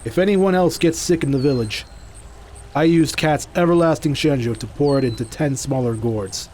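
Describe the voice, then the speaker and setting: A man's voice: on the high side, normal speed, a little mellow, slight background noise, natural voice. A man playing a resolute hero and trusting leader in an audio drama, speaking plainly and with command.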